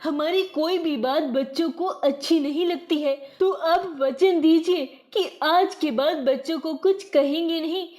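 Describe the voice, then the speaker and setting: A woman voicing an elderly, peacemaking mother and wife who pleads gently in a cartoon story. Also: sits high, unhurried, hardly any pauses. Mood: pleading.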